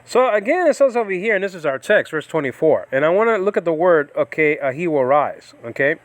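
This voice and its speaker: A man's voice: very animated, dry recording. Rambling man teaching scripture. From United States. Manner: rambling, halting, lifts pitch and volume when reading scripture. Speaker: Bible teacher and ministry host. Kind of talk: informal Bible study talk. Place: home in the Philippines.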